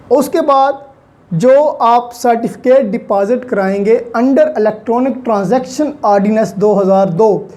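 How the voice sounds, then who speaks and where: A woman's voice: medium pitch, warm tone. A woman with a Pakistani accent giving an online lecture as a law teacher, explaining step by step, posing questions and answering them, with many English terms mixed in.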